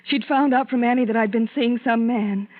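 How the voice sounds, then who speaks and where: An actress playing a scheming, possessive, suspicious wife in a 1940s radio drama, cold and threatening. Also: female voice, a little high, normal speed, natural voice. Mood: intense, threatening. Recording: tinny old 1940s radio broadcast.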